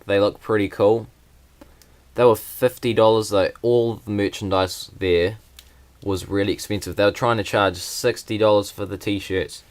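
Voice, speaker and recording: Low, flat-voiced young man. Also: moderate pace. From New Zealand. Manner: plain, hesitant, many fillers. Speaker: vlogger. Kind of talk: casual unboxing vlog.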